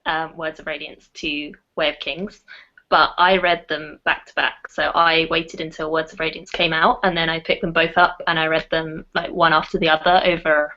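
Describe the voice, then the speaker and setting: A woman's voice: low voice, level tone, normal speed, pushed and bright. A woman chatting casually about books as a panelist on a group video call. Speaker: panelist and awards judge. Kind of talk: casual book chat. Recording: dull online call audio.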